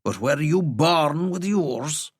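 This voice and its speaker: A man voicing an eccentric, rambling old man with a thick Kerry Irish accent, drawling slowly and whimsically. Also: on the high side, expressive, breathy edge, dry recording, studio quality, pitched above the performer's own voice, heavily acted.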